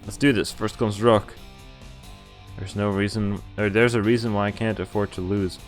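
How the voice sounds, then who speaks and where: Very low-voiced woman streaming over game music. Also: normal speed. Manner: expressive read-aloud with muttered swearing asides. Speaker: streamer playing a visual novel. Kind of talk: reading game text aloud with casual reactions. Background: visual novel background music.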